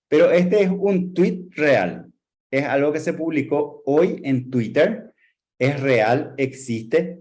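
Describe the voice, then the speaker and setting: A man with a Paraguayan Spanish accent speaks in a somewhat raised, mellow tone. He gives an earnest talk to a group of teachers, using rhetorical questions and steady emphasis. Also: fairly high pitch. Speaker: lecturer.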